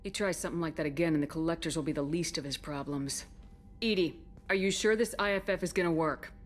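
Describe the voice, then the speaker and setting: An actress playing a tough, skeptical military commander in a video game, blunt and commanding. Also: female voice, low voice, fast talker, faint music behind. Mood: firm, determined. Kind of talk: dramatic video game dialogue.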